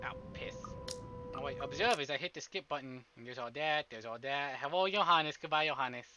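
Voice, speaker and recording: A man's voice: a little high, fairly quick, pushed and bright, dull audio, a little hiss or hum. A man streaming a visual novel playthrough, chatting in a jokey, sweary way and reading text aloud. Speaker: game streamer. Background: visual novel music.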